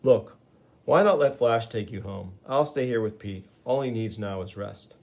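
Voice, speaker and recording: Brief: man playing a level-headed young friend, calm and reasonable. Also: fairly low pitch, normal speed, creaky. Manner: soothing, reasonable.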